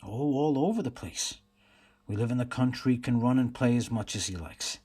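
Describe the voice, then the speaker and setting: A world-weary detective and father, played by a man in a radio drama. He has a husky, medium-pitched voice and questions people patiently. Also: moderate pace, mellow, close to an everyday voice. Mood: gentle, coaxing. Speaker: actor in a radio play.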